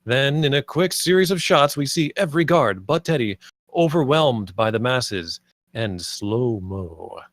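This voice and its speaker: A man's voice: medium pitch, animated, measured pace, dry recording, clean studio sound. A man reading stage directions aloud as scripted screenplay narration.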